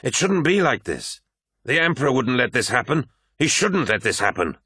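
A huge warrior captain, as a male narrator plays him, seething and anguished. His voice pushes up well above a narrator's pitch, growling and agitated, in a dry sci-fi audiobook recording. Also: big pitch swings, normal speed, bright, forceful tone, husky, no room sound, full character voice. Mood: angry, wounded. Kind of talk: dramatic science-fiction audiobook dialogue.

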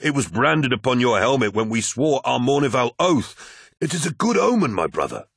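A man's voice: mid-range voice, sing-song, normal speed, vocal fry, a bit bright, slightly rough, no echo, clean studio sound, voice pushed up, heavily acted. A man voicing an earnest warrior in an audiobook, appealing persuasively to a comrade. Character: earnest male warrior, appealing to his brother.

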